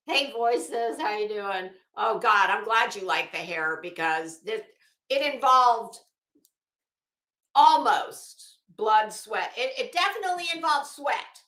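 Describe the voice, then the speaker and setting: A woman's voice: mid-range voice, takes it slowly, pushed and bright. A middle-aged woman chatting casually as a livestream host, greeting viewers with teasing, salty banter. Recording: echoey room.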